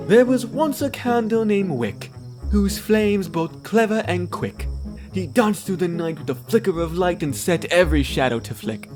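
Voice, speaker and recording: A man acts out a charming demon bard with a lively, swooping voice. He is playful, flirtatious and theatrical, breezily showing off in an audio drama with music clearly behind him. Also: high-pitched, measured pace. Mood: playful, showy.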